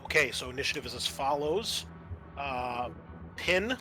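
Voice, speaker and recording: A man working as game master of a tabletop roleplaying game, narrating in a conversational, halting way. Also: mid-range voice, animated, bright, forceful tone, soft background music.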